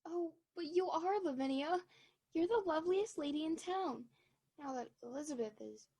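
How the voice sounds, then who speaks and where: A woman's voice: very high voice, animated, moderate pace, warm tone. A woman playing a timid, anxious small-town lady in a 1940s-style radio play, earnest and pleading.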